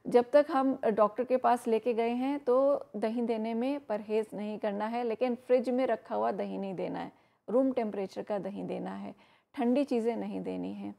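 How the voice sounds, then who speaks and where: A woman giving health advice on an educational program, explaining steadily with frequent pauses and fillers. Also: a little high, gravelly, warm tone. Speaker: health expert guest. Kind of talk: educational talk on live program.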